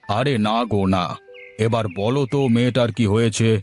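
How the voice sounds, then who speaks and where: A man's voice: mid-range voice, moderate pace, darkish tone, faint music behind, natural voice. A man voicing a greedy, pompous middle-aged village landlord in a cartoon. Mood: grumbling. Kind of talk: cartoon voice acting.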